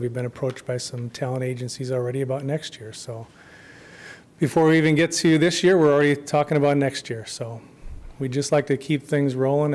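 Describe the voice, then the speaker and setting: A man speaking as a festival co-chair before a city commission, his voice going hoarse, informal with many ums, trailing off quietly at the ends of phrases. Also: medium pitch, a little hiss or hum. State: losing his voice. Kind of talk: public comment at a council meeting. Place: council chamber.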